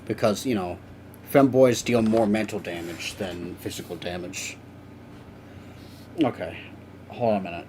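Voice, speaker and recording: A young man with a lowish voice rambles through a casual live stream, chatting with viewers as he works. He drops the odd curse without fuss. A faint hiss sits under the recording. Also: a little slow, pauses often, a little mellow. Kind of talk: casual live stream chat while drawing.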